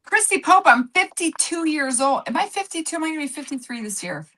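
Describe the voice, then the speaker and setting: Bright, forceful woman in her fifties. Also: sits high, animated, a little fast. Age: 50s. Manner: chatty and exclamatory, with frequent restarts. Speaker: co-host of a craft live stream. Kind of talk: casual live-stream chat.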